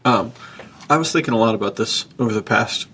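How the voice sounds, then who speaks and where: A man in casual, reflective conversation as a podcast co-host, thinking aloud informally in a gravelly, husky voice. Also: on the low side, brisk, sharp tone.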